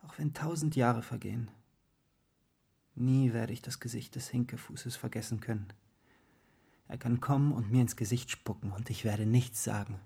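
An intense young man in a radio drama, with a breathy, husky voice at a medium pitch. He is a dreamer given to exalted confessions, and his delivery is impassioned and theatrical. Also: normal speed. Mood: brooding, subdued. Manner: impassioned, dramatic, swinging between hushed and ecstatic. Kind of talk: German radio drama acting.

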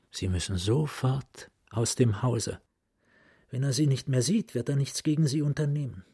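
An actor playing a scheming middle-aged gentleman who flatters and commands with calculated courtesy in a radio play. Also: mid-range voice, breathy edge, no room sound, clean studio sound. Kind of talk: German literary radio play acting.

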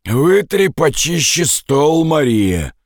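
Man putting on a drunk surly man, loud, mocking, pitched high, dry close voice. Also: big pitch swings, takes it slowly, no room sound, exaggerated voice. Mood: drunken calling. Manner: loud, calling out.

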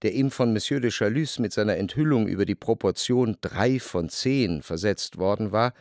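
A man's voice: fairly low pitch, normal speed, a little mellow, no room sound, natural voice. Man as dry-recorded reflective literary narrator. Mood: dryly observant. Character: reflective first-person male narrator, refined, observant gentleman. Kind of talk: literary scripted narration in a radio play.

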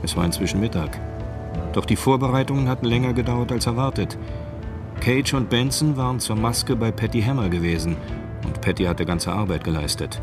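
A man's voice: mid-range voice, animated, fairly quick. A man narrating a radio drama in a scripted, literary storytelling style. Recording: music behind the voice. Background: music.

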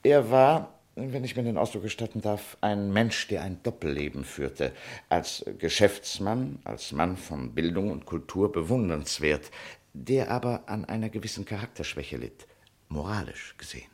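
A slick radio-drama lawyer with a lowish, husky voice. He talks formally and deliberately, insinuating as he presses his claim. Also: lively intonation, a little mellow. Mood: discreetly insinuating. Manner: smooth-talking, formal, deliberate. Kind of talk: German radio play acting.

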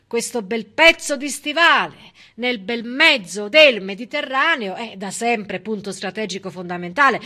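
A woman's voice: a little high, bright, forceful tone. A woman stage performer delivering a civic monologue with emphatic, dramatic storytelling. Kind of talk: theatrical monologue, civic oration.

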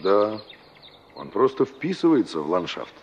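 An actor playing a reflective, nostalgic young engineer in a Soviet radio drama, probing and expressive in his delivery. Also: lowish voice, a little slow, husky, full character voice. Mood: curious. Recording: muffled, noisy old radio drama recording.

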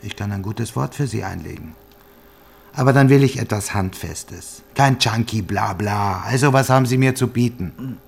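An actor playing a hard-bargaining police investigator in a crime drama, probing and dismissive. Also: male voice, fairly low pitch, normal speed, creaky, breathy edge. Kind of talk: acted dialogue in a crime drama.

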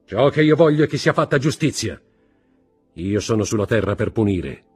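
Man playing a stern accusing magistrate, husky voice. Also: lowish voice, animated, faint music behind. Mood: grim, relentless. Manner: commanding, contemptuous, deliberate interrogation rising to shouts.

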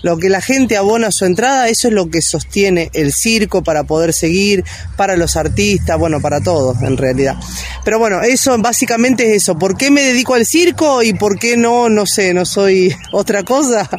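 A woman's voice: medium pitch, lively intonation, normal speed, slight background noise. Chatty woman, filler-heavy. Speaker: circus performer interviewee. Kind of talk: casual interview.

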